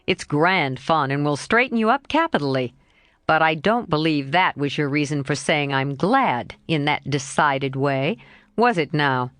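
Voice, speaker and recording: A woman voicing a cheerful, teasing young man in a slightly lowered, coaxing voice. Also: expressive, a little slow, a bit bright, dry recording, studio quality. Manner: lightly lowered, coaxing, playful.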